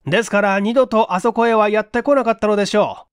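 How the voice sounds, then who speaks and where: Man as earnest police chief, high-pitched male voice, courteous, explanatory, dry sound, audiobook drama. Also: smooth, dry recording, lightly acted. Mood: reasoning. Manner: polite, measured, explanatory.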